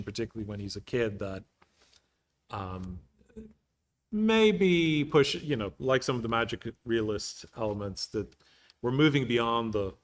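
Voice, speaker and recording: A man leading a book club discussion in a museum meeting room, talking thoughtfully with pauses. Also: mid-range voice, a bit bright. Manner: thoughtful, storytelling, with frequent pauses.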